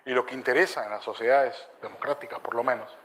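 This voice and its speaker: A man's voice: medium pitch, fast talker, a little hiss or hum, rough recording. An older man lecturing on law, telling anecdotes with rhetorical asides. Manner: animated storyteller, rhetorical asides. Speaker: law professor.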